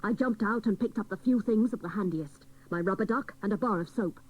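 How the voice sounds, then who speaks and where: A dotty female private detective , played by an actress narrates a comic radio play. Her mid-pitched voice is deadpan and mock-dramatic, spoofing hard-boiled crime stories. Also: brisk, husky, close to an everyday voice, poor audio.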